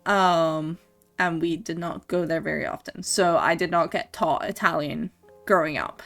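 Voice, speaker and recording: Slow-talking woman with mixed Canadian accent. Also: low voice, expressive, gravelly, fairly bright tone. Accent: mixed, mostly Canadian-sounding. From Scotland. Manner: rambling, many ums and restarts, laughs often. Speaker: streamer. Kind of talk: casual chat while drawing on stream. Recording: faint music behind.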